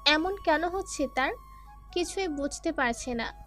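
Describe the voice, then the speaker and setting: A woman's voice: high-pitched, animated, measured pace, fairly bright tone. A woman narrator reading a scripted dramatic story. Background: faint music.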